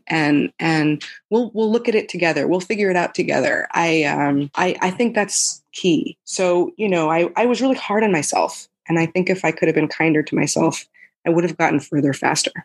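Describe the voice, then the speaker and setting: A woman in her thirties from the United States, answering interview questions thoughtfully, often restarting and saying "you know". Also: lowish voice. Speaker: podcast guest.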